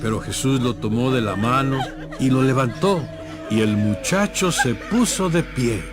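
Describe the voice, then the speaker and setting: A man narrating a scripted scripture story in a measured storytelling manner. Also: medium pitch, animated, over music.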